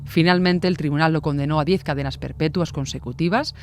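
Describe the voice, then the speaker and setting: Higher-voiced true-crime narrator. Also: male voice, a little fast, faint music behind. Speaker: podcast narrator.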